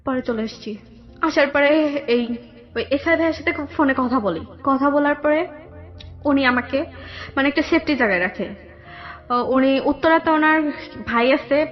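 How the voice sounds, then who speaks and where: A young woman with a Bangladeshi accent telling her personal story in a radio interview, halting and repeating herself. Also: sits high. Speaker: guest telling own life story. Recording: dull lo-fi radio audio, background music.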